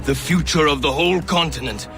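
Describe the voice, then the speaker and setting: An actor playing a stern, commanding mentor of assassins in a dramatic video game, intense and theatrical. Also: male voice, fairly high pitch, brisk, gravelly, music in the background.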